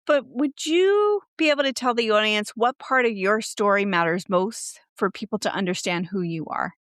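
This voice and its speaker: A woman's voice: medium pitch, moderate pace, fairly bright tone, no echo, studio quality. A woman hosting an interview podcast, introducing her guest and asking questions in an earnest manner. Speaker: podcast host.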